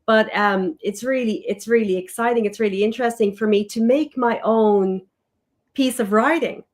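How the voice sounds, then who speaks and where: A woman's voice: fairly high pitch, normal speed. A woman in a casual chat, speaking enthusiastically and rephrasing as she goes.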